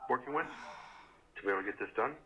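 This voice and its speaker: A man's voice: lowish voice, racing, a crowd in the background. A man working as an insurance sales agent, explaining things hesitantly on a muffled recorded phone call.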